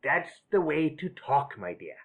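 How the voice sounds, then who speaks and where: A woman reading an audiobook, voicing a sly, fawning old man who speaks slowly and loudly. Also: sits low, very animated, husky, pitched below the performer's own voice, heavily acted. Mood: wheedling. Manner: wheedling, slow. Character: wheedling old male criminal, sly and fawning.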